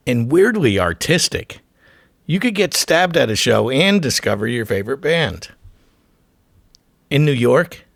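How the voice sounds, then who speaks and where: A man's voice: on the low side, creaky, no room sound. Man narrating in punchy phrases, dry close sound. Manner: punchy clipped phrases, irreverent and profane. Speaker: documentary narrator and host. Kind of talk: scripted music documentary narration.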